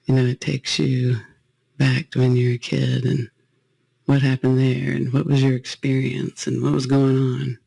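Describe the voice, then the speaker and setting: A man leading a guided meditation as a spiritual talk, phrasing gently and unhurriedly with pauses. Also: mid-range voice, gravelly, slightly rough, no echo, low-quality recording.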